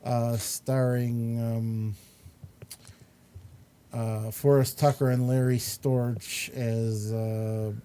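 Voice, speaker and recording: A man with a very mellow, dark voice hosts a casual chat show. He talks slowly at a middling pitch, rambling and hesitating as he goes. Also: level tone. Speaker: talk show host. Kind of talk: casual chat, reviewing entertainment.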